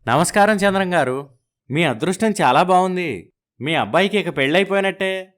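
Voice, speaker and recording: An ingratiating marriage broker in a cartoon family story, voiced by a man. He is courteous and flattering, with a somewhat high male voice. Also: normal speed. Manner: polite, flattering.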